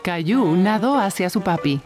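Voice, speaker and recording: A woman's voice: lowish voice, expressive, moderate pace, over music. A woman narrating a scripted children's story for a cartoon.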